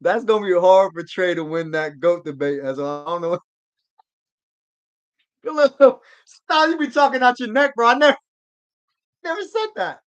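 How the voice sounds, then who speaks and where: A man's voice: sits high, lively intonation, measured pace, long pauses, lo-fi sound. A man hosting a live sports stream, chatting casually and answering viewer comments in a rambling, slangy way. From Georgia. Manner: laid-back slang, rambling restarts.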